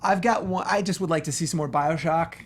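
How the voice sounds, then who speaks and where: A man's voice: a little high, a little fast. A man co-hosting a casual video game panel, chatting in slangy, off-the-cuff language. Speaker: talk show co-host. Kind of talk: casual panel chat about video games.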